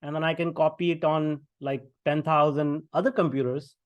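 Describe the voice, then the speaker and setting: A man's voice: on the high side, steady tone, normal speed, no room sound. Indian man, dry close sound. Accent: Indian English. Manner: conversational, many fillers and restarts. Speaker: guest, tech founder. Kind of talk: podcast interview.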